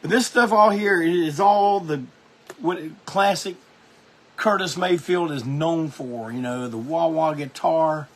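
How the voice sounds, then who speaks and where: A man's voice: a little high, slow pace. A man hosting a music show, talking casually and enthusiastically about records, rambling with lots of restarts.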